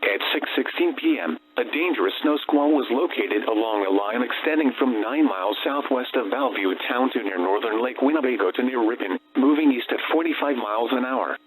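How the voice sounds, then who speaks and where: A synthetic man's voice reads automated weather warnings as a radio announcer, with flat, formal, segmented delivery. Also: mid-range voice, a little fast, few pauses. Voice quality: synthetic text-to-speech voice. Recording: noisy, humming weather radio broadcast.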